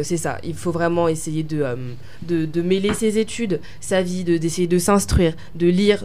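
A woman's voice: fairly low pitch, dark tone, a little hiss or hum. Hesitant young woman answering questions. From France. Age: young adult. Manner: hesitant, many fillers and restarts. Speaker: pageant winner, interviewee. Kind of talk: interview answers.

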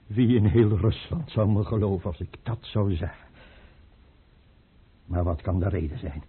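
Tormented emperor, low husky voice, theatrical radio drama, volatile temper, thin narrow-band vintage sound. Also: male voice, normal speed. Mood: anguished, overwrought. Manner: theatrical, brooding, swinging into outbursts. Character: tormented, volatile, suspicious tsar given to drink. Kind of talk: old Dutch radio drama acting. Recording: muffled, narrow-band old radio recording.

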